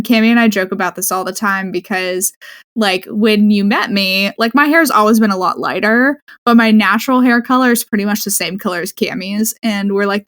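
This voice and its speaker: A woman co-hosting a podcast, chatting casually in a calm, soothing, easygoing way. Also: medium pitch. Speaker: podcast co-host.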